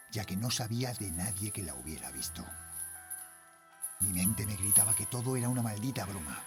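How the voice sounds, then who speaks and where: A man playing a frightened father and night guard, telling his horror story in a Spain accent with tense, dramatic delivery and hushed phrase endings. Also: fairly low pitch. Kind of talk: scripted first-person horror narration, audio drama.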